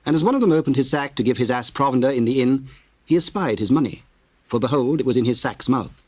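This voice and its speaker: A man narrating a dramatized scripture, reading in a formal, measured way. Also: medium pitch, animated, darkish tone, no echo.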